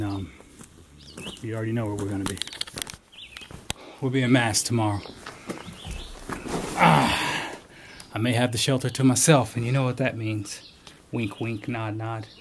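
A middle-aged man on a long-distance hike, talking casually and upbeat to a handheld camera outdoors, thinking aloud with fillers. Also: medium pitch. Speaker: thru-hiker vlogger. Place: outdoors on a forest trail and in a small town. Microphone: handheld camera at arm's length. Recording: outdoor camera audio with background noise.

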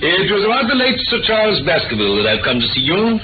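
An actor playing a courteous, earnest gentleman doctor in 1940s radio drama, polite and probing. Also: high voice, big pitch swings, quick pace, noisy background. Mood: probing, firm. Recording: narrow vintage radio with music.

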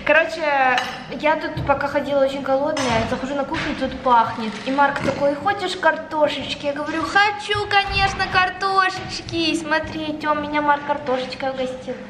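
Chatty high-voiced young woman vlogging. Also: reverberant. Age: young adult. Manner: chatty, bubbly, quick shifts into excited high tones. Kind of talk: casual vlog chat to camera. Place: chilly house living room, indoors. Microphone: handheld camera at arm's length. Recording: noisy home camera audio.

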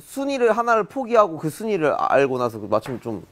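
A young man in his twenties leading loud, quick group banter on a variety show, recorded indoors on a lapel mic. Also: a little high, wildly swooping pitch. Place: comic book cafe set, indoors.

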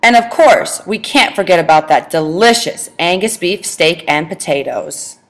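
Woman, school presentation, read aloud, low voice, video call. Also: animated, moderate pace. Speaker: student presenter.

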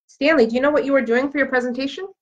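A woman's voice: sits high, very fast, highs cut off, close to an everyday voice. A woman voicing a shy, earnest young schoolgirl in a children's storybook. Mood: shy, curious. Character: shy, earnest young schoolgirl who loves fish and drawing. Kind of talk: children's storybook read aloud.

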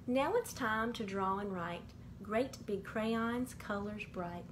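A middle-aged woman reading a rhyming children's picture book aloud in a measured, sing-song way, as bedtime stories from a bedroom. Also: mid-range voice, lively intonation. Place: small indoor bedroom. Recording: clearly noisy amateur home recording.

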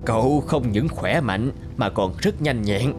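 A man voicing a gruff but fair old woodcutter father in a fairy-tale cartoon. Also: mid-range voice, expressive, a little slow, lightly acted. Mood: approving. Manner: plain-spoken, doubtful then approving. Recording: music behind the voice.

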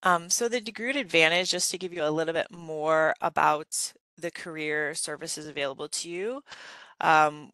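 A woman's voice: low-pitched, a bit bright, clear, resonant tone, no echo. A woman presenting a graduate business program in a webinar, talking through slides in a conversational way with many fillers.

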